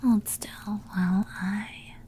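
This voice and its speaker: A woman voicing a seductive, menacing female demon who toys with her prey in a teasing, breathy ASMR roleplay whisper. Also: medium pitch, steady tone, takes it slowly, warm tone. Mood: predatory, seductive.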